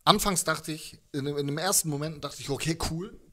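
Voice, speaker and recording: A man's voice: on the high side, a little fast, fairly bright tone. A man in his thirties chatting casually as a musician in an interview, hesitant and slangy, speaking into a handheld microphone. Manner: hesitant, informal slangy talk. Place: living room with instruments, indoors. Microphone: handheld microphone held close.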